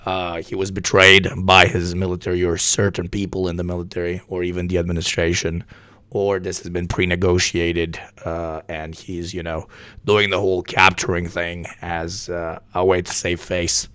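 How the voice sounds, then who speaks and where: A sick, hoarse man streaming live news commentary, talking bluntly and with plenty of swearing. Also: deep voice, monotone, normal speed, bright, forceful tone, dry recording. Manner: profane, blunt, rambling. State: hoarse from illness.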